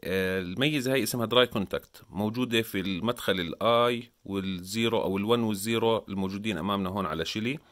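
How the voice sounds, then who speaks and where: Very fast-talking Levantine man, tutorial style. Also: on the low side, fairly bright tone. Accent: Levantine Arabic. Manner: informal, step-by-step explaining. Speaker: tech tutorial presenter. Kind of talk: hands-on instructional explanation.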